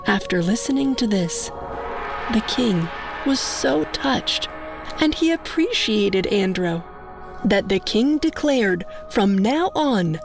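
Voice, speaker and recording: A woman reads a scripted moral story in a fairly high voice. She takes her time, telling it slowly and deliberately as a storyteller would, with soft music behind her. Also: gravelly.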